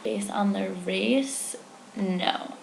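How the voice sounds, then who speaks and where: Young woman with a gravelly, hesitant voice. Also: mid-range voice, very slow, a little hiss or hum. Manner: hesitant, many "like" fillers. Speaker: interviewee. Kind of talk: casual interview answers. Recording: echoey room.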